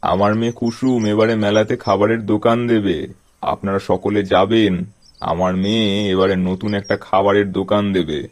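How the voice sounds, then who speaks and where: A man's voice: fairly low pitch, steady tone, moderate pace, lightly acted. A man voicing a proud, doting village father who boasts about his daughter.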